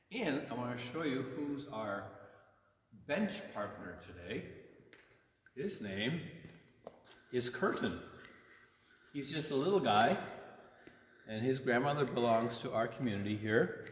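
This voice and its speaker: A man in his sixties, a priest, talking informally and simply to children at storytime, gently explaining and checking in with questions. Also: on the low side. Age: 60s. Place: inside a church. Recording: echoey, long reverb of a church.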